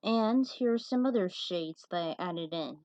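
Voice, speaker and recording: A woman's voice: lowish voice, animated, unhurried, a little mellow, no echo. Muffled, blunt-talking woman. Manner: opinionated, blunt, swearing. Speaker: fan fiction author reading and commenting on own story. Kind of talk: casual commentary mixed with story reading. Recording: dull, muffled lo-fi recording.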